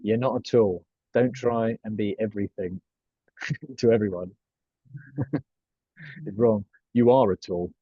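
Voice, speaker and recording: A man's voice: lowish voice, a little slow, long pauses, warm tone, husky, dry recording, dull audio. A young man coaching a group on personal branding, chatty and jokey with frequent swearing and asides. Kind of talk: casual group coaching talk.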